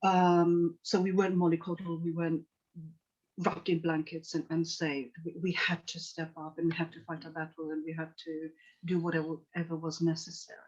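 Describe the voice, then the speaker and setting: Earnest woman from Tanzania, flat delivery. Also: low voice, normal speed. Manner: earnest, reflective, many restarts and "you know". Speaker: psychotherapist guest. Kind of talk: podcast interview storytelling. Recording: dull lo-fi call audio.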